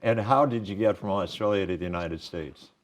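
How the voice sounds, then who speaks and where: A man's voice: fairly low pitch, a little fast, muffled recording. A man hosting a studio sports interview show, chatty and self-deprecating, often restarting his questions.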